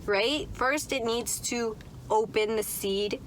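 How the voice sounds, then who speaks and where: A woman's voice: fairly high pitch, slow, a little hiss or hum, low-quality recording. A young woman vlogging from inside a car, chatting in a loose, upbeat way with lots of "like" and "right?". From Canada. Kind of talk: casual chat to camera.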